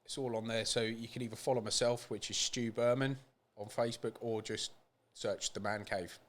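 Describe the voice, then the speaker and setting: A man's voice: medium pitch, steady tone, moderate pace. A British man talking casually and plainly as a podcast guest. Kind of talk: casual chat.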